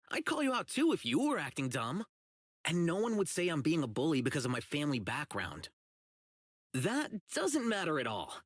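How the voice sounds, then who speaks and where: A man's voice: on the high side, fairly bright tone, husky, no echo, studio quality, full character voice. An actor playing a blunt, earnest young policeman who is socially oblivious, in video game voice lines. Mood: sincere, awkward. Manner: blunt, earnest, literal-minded. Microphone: close studio mic, isolated dry voice track.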